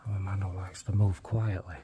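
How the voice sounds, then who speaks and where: A British man giving casual, low-key running commentary, musing aloud as he explores. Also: little pitch movement, brisk. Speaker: urban explorer and vlogger. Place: inside a large derelict building, walking. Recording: faint background noise, indoor ambience.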